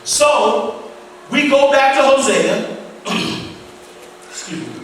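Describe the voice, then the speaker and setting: A male pastor teaching slowly through a Bible passage, repeating words and stumbling over old names. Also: very high-pitched, big pitch swings, a bit bright, slight background noise. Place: church hall. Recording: echoey hall, voice far from mic.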